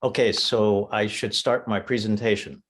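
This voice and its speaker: A man from Vietnam giving a measured, explanatory webinar presentation as a council member, stressing his key points. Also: on the low side, fairly even intonation, fairly bright tone.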